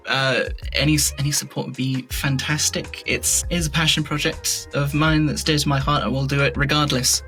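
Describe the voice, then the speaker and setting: A man's voice: medium pitch, fairly bright tone. A man chatting casually as a podcast guest, speaking in a candid, irreverent way with some swearing. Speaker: podcast guest, voice actor and audio drama creator. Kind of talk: casual interview chat.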